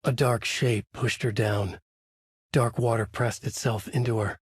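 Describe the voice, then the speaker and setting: A haunted writer from a horror video game, husky and close, with a very dry sound. He is brooding and grave, delivering his words deliberately like noir narration. His pitch sits in an ordinary male middle range. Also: fairly even intonation, no room sound. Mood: brooding, ominous.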